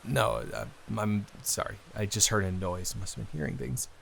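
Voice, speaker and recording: A man playing an awkward, earnest young man in an improvised intimate roleplay, hesitant, rambling and quick to apologize. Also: fairly low pitch, gravelly, fairly bright tone, a little hiss or hum. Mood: awkward, chatty. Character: awkward, earnest, smitten young man, best friend's brother.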